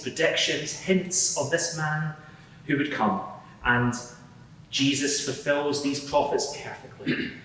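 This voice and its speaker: A man's voice: mid-range voice, close to an everyday voice. Earnest rabbi witness played by a man, very echoey. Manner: earnest, declamatory, preaching. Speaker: witness in a mock court inquiry. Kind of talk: dramatised courtroom testimony. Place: large echoing courtroom, live event. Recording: echoey hall, distant, loud background noise.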